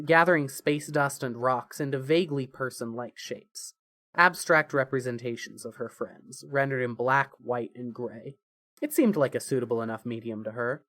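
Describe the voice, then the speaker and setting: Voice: medium pitch, a bit bright, dry recording. A narrator reads scripted story prose in a mid-range voice. The delivery is that of an audio fiction reading, and the sound is close and dry with no room around it.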